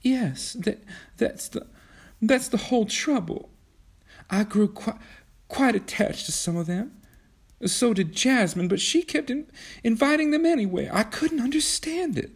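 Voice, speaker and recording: A man doing a cool young heiress. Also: normal speed. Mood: tearful. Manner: raised tearful pitch, breaking with sobs. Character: wealthy young woman, calmly chilling heiress.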